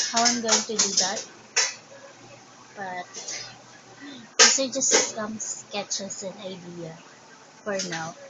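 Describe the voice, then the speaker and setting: A woman with a Filipino accent, Tagalog her first language, casually showing her crafts and thinking aloud in a hesitant way. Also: mid-range voice. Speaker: crafting vlogger. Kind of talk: casual show-and-tell. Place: home. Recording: dull lo-fi sound with background noise.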